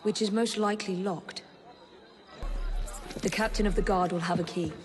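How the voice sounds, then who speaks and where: A woman's voice: mid-range voice, fairly even intonation, normal speed, a faint crowd, close to an everyday voice. Woman playing sardonic young english spy lady, victorian game cutscene, composed n formal, noisy background. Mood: focused. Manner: dry, poised, formal. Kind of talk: video game cutscene acting.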